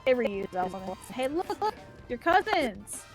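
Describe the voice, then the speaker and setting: A woman's voice: on the high side, big pitch swings, measured pace, faint music behind. Playful woman streamer. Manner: playful, teasing. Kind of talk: casual gameplay chat.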